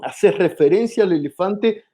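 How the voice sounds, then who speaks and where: Argentine man, comedian guest, mellow tone, slightly high voice, hesitant with fillers. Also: normal speed. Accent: Argentine Spanish. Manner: hesitant, many fillers and restarts. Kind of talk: explanatory interview talk.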